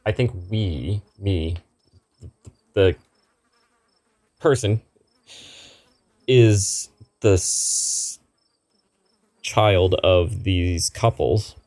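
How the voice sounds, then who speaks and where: A man's voice: low-pitched, darkish tone. A man reading a story game aloud and commenting on it as a gaming host, with music playing behind him. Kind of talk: let's play commentary and narration read-aloud.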